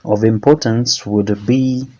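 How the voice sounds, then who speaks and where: A man's voice: lowish voice, steady tone, slow, warm tone, lo-fi sound. A man reading a scripted essay aloud as a contributor to an academic program. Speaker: contributor answering a question on African studies.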